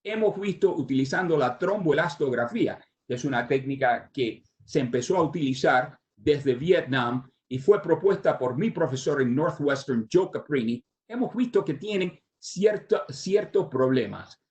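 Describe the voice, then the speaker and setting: An older man with a Cuban Spanish accent carried by English habits delivers a medical lecture in a voice pitched a little high. He stops often on "ah" fillers and restarts his phrases. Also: animated, darkish tone. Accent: Cuban Spanish with American English influence. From Cuba, raised in the United States. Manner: halting, with many "ah" fillers and restarts. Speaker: surgeon giving a conference talk.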